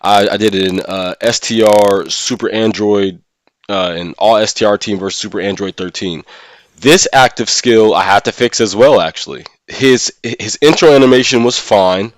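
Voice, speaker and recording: A man with a fairly deep voice talks as a livestreamer in a casual discussion. He thinks aloud in an opinionated, conversational way, often checking in with his listeners. The sound is dry, with very little room around the voice. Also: no echo. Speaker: live streamer and gaming content creator.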